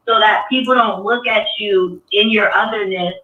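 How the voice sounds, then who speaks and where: A woman's voice: medium pitch, steady tone, normal speed. A woman talking earnestly on an artist panel, thinking aloud with many restarts. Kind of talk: casual panel discussion. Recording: dull, echoey sound from a distance.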